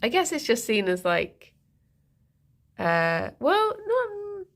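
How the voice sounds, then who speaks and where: Girl streamer rambling to chat, lots of giggles, bit of a gravelly fry in her voice. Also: fairly high pitch, wildly swooping pitch, slow pace. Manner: chatty and rambling, laughs often. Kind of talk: casual live-stream chat.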